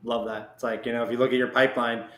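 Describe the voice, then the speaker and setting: A man's voice: medium pitch, little pitch movement, rapid-fire, fairly bright tone. A man hosting a casual podcast interview, talking conversationally and telling stories with quoted asides. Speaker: podcast host. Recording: roomy, echoey sound.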